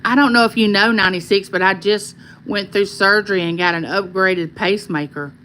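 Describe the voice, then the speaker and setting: A woman with a Southern Arkansas accent hosts a casual livestream, reading viewer comments aloud and answering each by name in a calming voice. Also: medium pitch, a little fast, a little hiss or hum, poor audio. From California. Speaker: livestream host.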